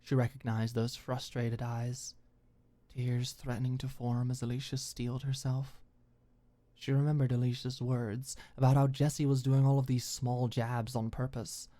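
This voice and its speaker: A woman's voice: rumbling low, little pitch movement, moderate pace, dark tone. A woman reading scripted fiction as an audiobook narrator, in an intimate storytelling style.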